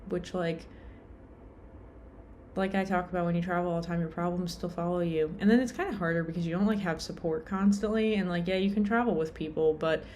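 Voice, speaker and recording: Tired young woman vlogger, low pitch, chatty and meandering, self-deprecating. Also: steady tone, a little fast, a little mellow, slight background noise. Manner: rambling, lots of "like" and "um", self-deprecating. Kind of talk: casual rambling vlog.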